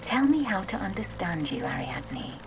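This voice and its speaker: A woman's voice: lowish voice, big pitch swings, normal speed, vocal fry, music in the background, poor audio. A woman playing an earnest, uneasy child therapist in a video game. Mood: gentle, probing.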